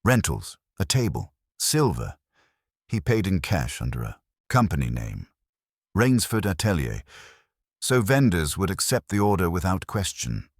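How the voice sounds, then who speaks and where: A man voicing an anxious, well-bred wife in a detective story, husky, earnest and polite. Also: low voice, very animated, unhurried, no room sound, studio quality, pitched above the performer's own voice, exaggerated voice. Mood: worried.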